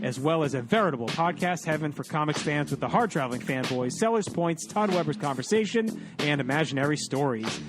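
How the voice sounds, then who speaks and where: A man reading a long scripted promotional ad for a podcast network at a brisk, listing pace. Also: fairly high pitch. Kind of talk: read promotional ad. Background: music bed.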